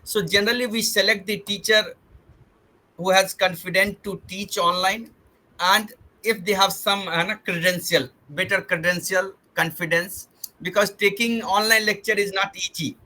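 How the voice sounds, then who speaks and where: Male webinar host, high, sharp voice, earnest, circles back to rephrase, video call audio. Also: fairly even intonation, moderate pace, low-quality recording. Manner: earnest, repeats and rephrases himself. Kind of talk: formal online introduction.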